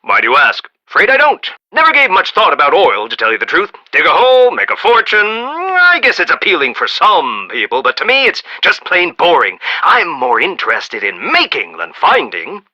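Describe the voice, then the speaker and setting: An actor playing a proud, eccentric self-made American inventor tycoon in a video game, talking in a folksy, rambling, emphatic way. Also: male voice, a little high, huge pitch swings, moderate pace, few pauses, pushed and bright, highs cut off, full character voice. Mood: breezy, opinionated. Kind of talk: animated video game voice acting.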